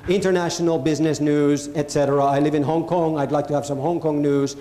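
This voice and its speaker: A man speaks to a conference audience, pressing his points and repeating phrases for stress. His voice sits high with a warm, mellow tone. The sound carries the echo of a large hall. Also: steady tone, quick pace. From Finland. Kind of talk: live keynote talk. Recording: echoey hall.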